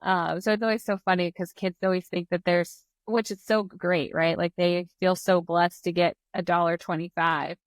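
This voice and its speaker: Chatty woman co-host, lowish voice. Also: moderate pace, hardly any pauses, dry recording. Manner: chatty, animated when quoting her son. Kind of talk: casual interview chat.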